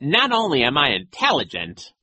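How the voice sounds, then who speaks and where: A menacing storybook coach, sneers down at everyone in a strained high rasp. The pitch lurches wildly and the edge is sharp. He is impatient, condescending and commanding. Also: wildly swooping pitch, dry recording, exaggerated voice. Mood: sneering, smug. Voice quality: scratchy. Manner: condescending, commanding, drawn-out words. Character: menacing, sneering, impatient male villain posing as gym coach.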